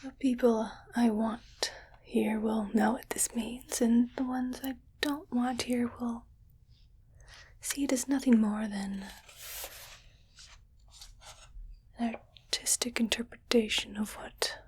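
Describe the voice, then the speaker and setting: A woman playing a scheming, patronizing rich alien in an ASMR roleplay, speaking in a breathy, coaxing whisper. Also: a little high, steady tone, a little hiss or hum, lightly acted. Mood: musing, scheming. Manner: slow, patronizing, softly coaxing. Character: condescending, scheming rich female alien keeping a human pet.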